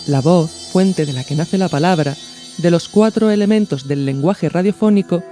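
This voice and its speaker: Mellow-voiced woman presenting an explanatory podcast. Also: lowish voice. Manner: didactic, measured phrasing. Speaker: podcast host. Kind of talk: scripted explanatory narration.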